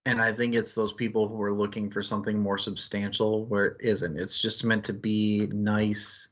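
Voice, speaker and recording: Male book club co-host, low and fairly even voice, rambling casual delivery, slightly dull stream audio. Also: moderate pace. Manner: rambling, conversational, peppered with "like" and "you know". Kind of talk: casual book discussion chat.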